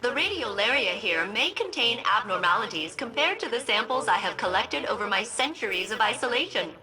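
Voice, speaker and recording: A woman's voice: fairly high pitch, big pitch swings, brisk, poor audio. A woman playing a centuries-old spaceship AI in a video game, formally courteous with a dry, sarcastic streak. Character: wry artificial intelligence of a stranded spaceship, centuries old. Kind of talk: scripted video game voice acting. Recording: music playing behind the voice.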